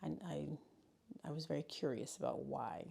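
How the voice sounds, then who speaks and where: A woman from New York City speaks in a low voice with a gravelly edge. She talks reflectively in an interview about how she came to teaching, circling back and restarting as she thinks. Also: normal speed, warm tone. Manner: reflective, storytelling, with restarts and fillers. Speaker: high school learning specialist. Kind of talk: interview conversation.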